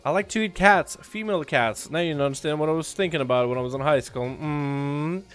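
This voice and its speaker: A man's voice: a little high, a little fast, a bit bright, smooth, faint music behind. A young man from Russia with a slight Russian accent, streaming a game and chatting in a loose, joking way with his viewers. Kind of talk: casual livestream chat.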